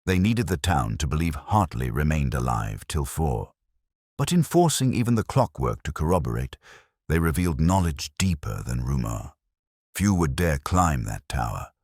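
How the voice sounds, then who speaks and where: A man playing a calm, shrewd police inspector in a mystery story, speaking in a measured, formal, cryptic way. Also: rumbling low, husky, dry recording, studio quality, heavily acted. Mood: gentle certainty.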